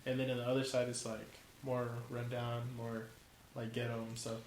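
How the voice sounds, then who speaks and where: A man's voice: mid-range voice, monotone, normal speed, darkish tone. Laid-back young man, echoey room. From California. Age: 20s. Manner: profane, laid-back slang. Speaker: guest, college football player. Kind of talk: casual chat. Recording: echoey room with faint noise.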